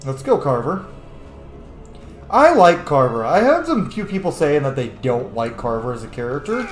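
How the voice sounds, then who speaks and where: Male gaming host, lively swooping delivery, chatty and foul-mouthed, in a roomy space with faint music behind. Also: medium pitch, normal speed. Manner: sweary, chatty, theatrical. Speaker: host of a video game let's-play. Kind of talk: casual gaming commentary. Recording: roomy echo.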